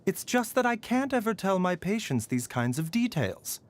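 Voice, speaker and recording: An actor voicing a courteous, scholarly, gently sly physician for a video game, speaking in polite, measured, formal phrases. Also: male voice, high-pitched, sing-song. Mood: quietly sly. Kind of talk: scripted game voicelines.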